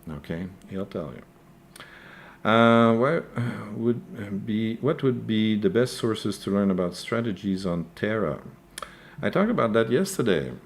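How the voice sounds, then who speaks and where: A man's voice: lowish voice, animated, a little slow. A man with a French accent, a native French speaker, hosting a live crypto news stream. He answers viewer questions in a hesitant way, with many fillers and a repeated "okay?". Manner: hesitant, frequent "uh" and tag "okay?".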